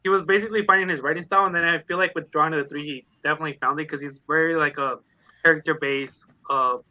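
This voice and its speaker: A man's voice: a little high, fairly even intonation, fast, sharp tone, no echo, dull audio. A man in a casual book discussion, rambling along and voicing quotes as he tells anecdotes. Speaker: read-along panelist. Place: online live video chat.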